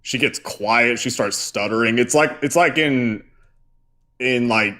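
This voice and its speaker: A man's voice: medium pitch, unhurried, bright, forceful tone. A man co-hosting a casual live stream, rambling with many fillers and restarts. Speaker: co-host.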